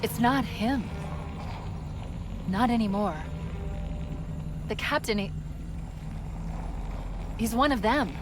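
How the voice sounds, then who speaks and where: An actress voicing a sharp-witted, dry female ship AI in a video game cutscene, brisk and wry. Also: a little high, music in the background. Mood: grave. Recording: voice over loud game score.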